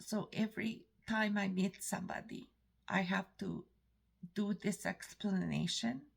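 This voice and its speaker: A woman from Canada with an Eastern European-sounding accent, telling a story in a rambling, halting way with many restarts. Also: mid-range voice, fairly even intonation, vocal fry. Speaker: vlogger. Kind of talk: casual storytelling monologue.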